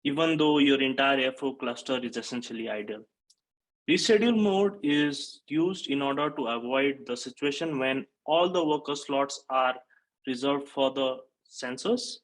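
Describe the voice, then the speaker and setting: A man's voice: medium pitch, normal speed, rough recording. A man in his thirties from Nepal, giving a technical conference talk from a webcam setup, explaining steadily with frequent "uh" fillers. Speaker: conference speaker, software engineer. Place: indoor home or office room. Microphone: webcam-style video call framing.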